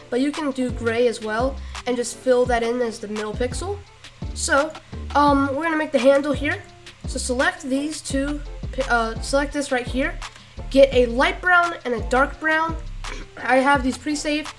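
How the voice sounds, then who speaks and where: A woman with a rough voice giving a casual step-by-step tutorial, halting with fillers and restarts. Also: fairly high pitch. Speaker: tutorial creator. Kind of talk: casual screen-recorded tutorial.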